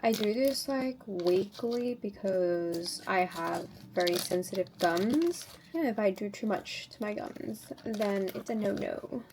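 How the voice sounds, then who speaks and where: A woman's voice: mid-range voice, animated, slow pace, hardly any pauses, noisy background. A woman chatting casually to viewers as a beauty vlogger, informally narrating each step of a routine. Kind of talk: casual tutorial chat to viewers.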